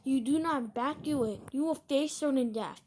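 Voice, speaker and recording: A woman's voice: high-pitched, moderate pace, darkish tone, a little hiss or hum. A woman reading a scripted emergency broadcast announcement in a formal, stilted, solemn way.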